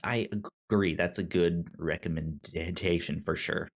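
Man, low pitch, unhurried pace, frequent stutter, halting casual podcast talk. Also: fairly even intonation. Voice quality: frequent stutter, repeating sounds and syllables. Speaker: podcast co-host. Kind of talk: casual movie review chat.

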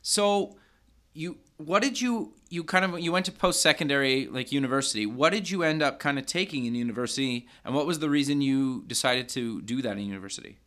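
A man's voice: mid-range voice, expressive, a little fast, fairly bright tone. A man hosting an interview podcast, chatty and upbeat, with many fillers and restarts. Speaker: podcast host.

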